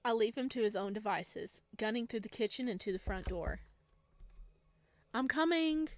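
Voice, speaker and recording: Casual, expressive woman reading a game aloud. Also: medium pitch, fairly quick. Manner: casual, expressive, jokey asides. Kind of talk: visual novel read-aloud with casual commentary.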